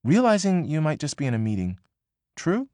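Audiobook: man doing a high, swooping, pushy young woman, close and dry. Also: wildly swooping pitch, dark tone, no echo. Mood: agitated. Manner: quick, emphatic, swearing freely. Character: brash, excitable young woman, pushy and gossipy. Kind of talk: audiobook character voice.

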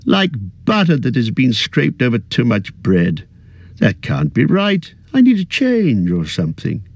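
Fussy old hobbit voiced by a male narrator, high and quavering, husky edge, dry close sound. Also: wildly swooping pitch, measured pace, darkish tone, no echo, full character voice. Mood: wistful. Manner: high quavering, petulant and defensive. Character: fussy elderly male hobbit, turning possessive.

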